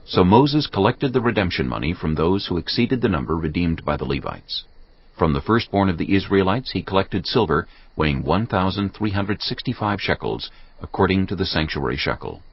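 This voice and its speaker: A man with a gravelly voice narrating scripture from a script in a solemn, measured, formal manner. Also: low voice, lively intonation. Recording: slightly muffled, music bed behind.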